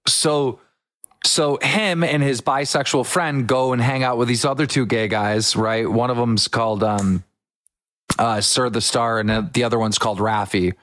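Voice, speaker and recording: A young man hosting a casual podcast, an excitable storyteller who acts out what people said in quick bursts. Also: mid-range voice, no room sound. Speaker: podcast host.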